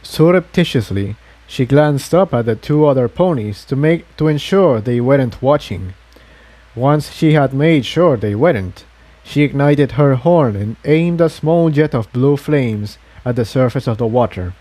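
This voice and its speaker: A man's voice: mid-range voice, lively intonation, a little slow, warm tone, no echo. A man reading an adventure story aloud as a scripted narration.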